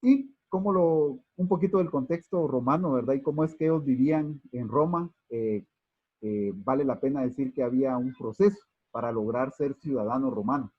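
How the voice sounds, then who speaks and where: A man with a husky voice teaches a Bible class, halting often and restarting his sentences. He speaks warmly to his listeners as brothers. The recording is dry and a little dull. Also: mid-range voice, no room sound. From Guatemala. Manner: hesitant, many fillers and restarts, addresses listeners as brothers. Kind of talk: unscripted Bible class lecture.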